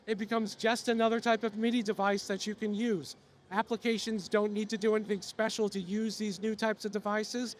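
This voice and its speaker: A man losing his voice, presenting software features to an audience at a trade show, explaining steadily with frequent filler sounds. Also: sits high, slight background noise. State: losing his voice, coughing now and then.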